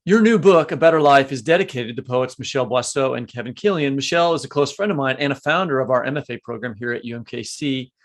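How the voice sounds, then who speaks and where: A man's voice: mid-range voice, quick pace, hardly any pauses. A man, co-hosting a podcast and putting questions to a guest in a conversational way. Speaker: podcast co-host. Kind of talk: conversational interviewing.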